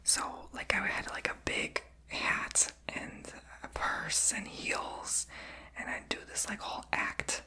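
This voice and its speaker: Whispered ASMR storyteller: breathy, very bright and airy, rambling and confessional, fillers everywhere. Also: slow pace, rough recording. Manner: rambling, chatty, many fillers; confessional and candid.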